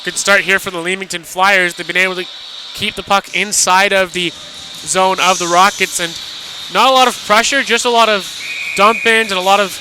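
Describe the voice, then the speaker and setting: A man giving live radio analysis as color commentator at an ice hockey game. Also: high voice. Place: indoor hockey arena. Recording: noisy live arena radio broadcast.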